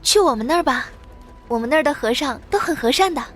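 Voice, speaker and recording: High-voiced, eager young girl disciple. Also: animated, fast talker, soft background music. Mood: gentle, hopeful. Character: gentle young junior sister disciple, eager, trusting. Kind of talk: Chinese audio drama acting.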